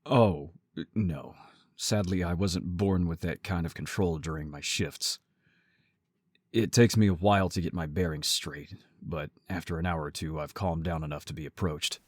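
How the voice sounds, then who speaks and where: A man playing a protective werewolf brother in an audio roleplay, gruff, blunt and exasperated but caring. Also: low voice, normal speed, no echo, clean studio sound. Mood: calm, explaining.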